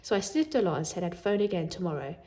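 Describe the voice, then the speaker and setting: A woman reading a children's book aloud in an animated way, as a storyteller who acts out the dialogue. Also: lowish voice, fast talker.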